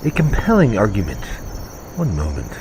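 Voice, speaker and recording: A man playing a pedantic, unflappable alien plant scientist in a sci-fi comedy audio drama, speaking formally and deadpan. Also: fairly low pitch, normal speed, noisy background. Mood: formal, wry.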